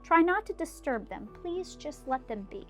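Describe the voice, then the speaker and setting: A woman voicing a gentle, loyal male elephant who talks in an earnest, pleading way. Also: high voice, big pitch swings, normal speed, soft background music, voice pushed up.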